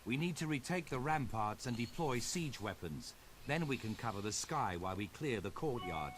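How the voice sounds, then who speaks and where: A man's voice: medium pitch, lively intonation, moderate pace, lightly acted. A man voicing a stern game marshal giving orders. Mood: commanding. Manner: commanding, clipped orders. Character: stern male marshal leading a city's defense. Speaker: military commander in a video game. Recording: game audio with clear background noise.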